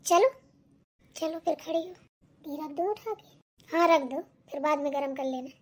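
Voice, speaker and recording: Woman voicing a very high-pitched, gossipy cartoon village housewife. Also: measured pace. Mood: gossipy grumbling. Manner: gossipy, colloquial, complaining. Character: chatty village daughters-in-law and nagging mother-in-law, grumbling. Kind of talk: Hindi village family cartoon dialogue.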